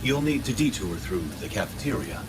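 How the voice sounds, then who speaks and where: A man acting a polite, attentive synthetic android in a video game cutscene, courteous, calm and measured in his speech. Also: mid-range voice, close to an everyday voice. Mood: calm, solicitous. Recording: distant voice under clear ambient noise.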